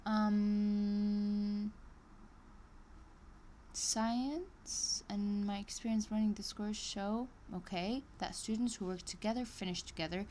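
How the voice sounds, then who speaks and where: A woman's voice: mid-range voice, fairly even intonation, takes it slowly, long pauses, warm tone, slight background noise. A woman live streaming as she reads an online course aloud, breaking off into chatty asides and small laughs. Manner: hesitant, many ums and restarts. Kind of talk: casual live-stream reading with commentary.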